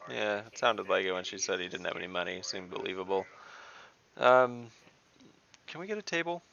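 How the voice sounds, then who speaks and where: Gravelly low-voiced man playing a game. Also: lively intonation, a little fast, bright, forceful tone. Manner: joking, direct, crude humor. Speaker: player. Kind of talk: casual tabletop play. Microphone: home mic on video call. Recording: dull lo-fi audio.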